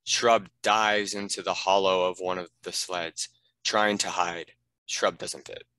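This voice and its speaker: Deep, bright-toned male script narrator. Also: little pitch movement, unhurried. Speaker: narrator reading stage directions.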